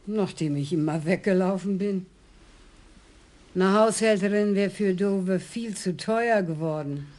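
An actress playing a blunt, dry-witted elderly widow in a radio crime play. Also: female voice, medium pitch, measured pace, a little mellow, natural voice. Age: older. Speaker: murder victim's widow. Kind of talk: radio drama acting.

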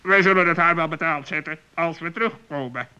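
A performer playing a grumpy but loyal male talking bird in a children's radio play, with a sharp, squawky voice and indignant delivery. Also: mid-range voice, a little fast, clear, resonant tone, lo-fi sound. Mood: determined.

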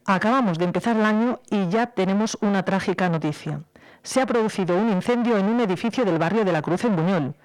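An actress playing a formal, measured radio newsreader in 1970s Spain, in an audio drama. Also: female voice, mid-range voice, darkish tone, natural voice. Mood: formal, grave. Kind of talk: formal scripted news bulletin.

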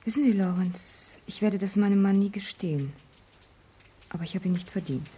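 An actress in a German radio play, playing a weary, self-possessed vicar's wife with a guarded, dry manner. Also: female voice, medium pitch, moderate pace, mellow, a little hiss or hum, lightly acted. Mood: earnest, firm. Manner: guarded, drily rebuffing.

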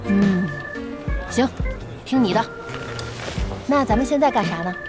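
A woman's voice: medium pitch, expressive, measured pace, natural voice. A woman acting in an audio drama as a chummy, perky young gamer, curious and a little impatient. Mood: questioning, impatient. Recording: studio drama with music behind.

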